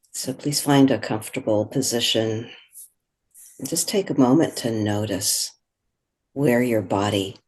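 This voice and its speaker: A meditation guide speaking in a gentle, soothing, unhurried way, giving slow instructions with long pauses. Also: medium pitch, slightly rough.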